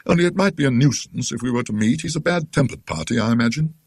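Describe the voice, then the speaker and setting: A British man voicing an anxious, glum scholarly gentleman in a storybook reading, hesitant and understated. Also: medium pitch, lively intonation, fast talker, husky, dry recording, clean studio sound, pitched above the performer's own voice. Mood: gloomy, dismissive.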